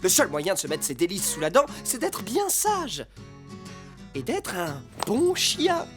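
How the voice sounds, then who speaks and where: An actor voicing an excitable, bossy but well-meaning animal in a French cartoon, over music. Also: medium pitch, a little slow. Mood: earnest. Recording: loud music behind the voice.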